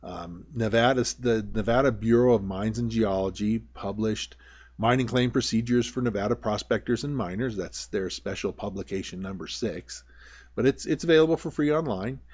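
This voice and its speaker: A man's voice: on the low side, moderate pace, darkish tone. A man who works as a prospector gives an informal, plain-spoken tutorial over slides, often circling back to repeat his points. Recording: dull, lo-fi voice-over.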